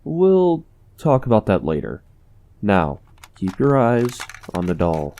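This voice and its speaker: Woman doing guarded matter-of-fact young character, really low voice, swings around a lot, comic dub. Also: sing-song, takes it slowly, dark tone, exaggerated voice.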